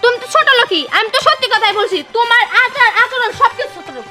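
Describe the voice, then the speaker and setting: A woman's voice: very high-pitched, lively intonation, fast talker, music in the background. A woman acting a complaining wife in a comic drama, scolding in a regional village dialect.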